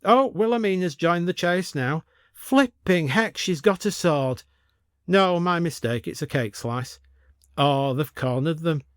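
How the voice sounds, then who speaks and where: A man voicing a gossipy village woman giving excited, colloquial running commentary in an audio story. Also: fairly high pitch, measured pace, clean tone, no echo, studio quality, voice pushed up. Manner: breathless gossipy chatter, colloquial.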